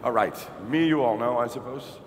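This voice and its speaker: A man moderating a conference panel, chatting and joking with frequent restarts. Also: mid-range voice. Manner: joking, conversational, halting restarts. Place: conference hall. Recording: reverberant hall with faint noise.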